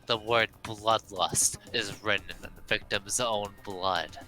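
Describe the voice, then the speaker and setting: A man's voice: lowish voice, fairly even intonation, slow pace, pushed and bright, soft background music, poor audio. A man voicing a haughty, pompous young heir from a mystery game, declaiming with relish. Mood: lecturing.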